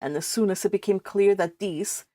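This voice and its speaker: A Californian woman hosting a read-along, reading a novel aloud and chatting about it in an informal, animated manner. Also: medium pitch, moderate pace, no room sound. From California, United States. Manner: animated, informal, exclaiming asides. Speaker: host of a live read-along.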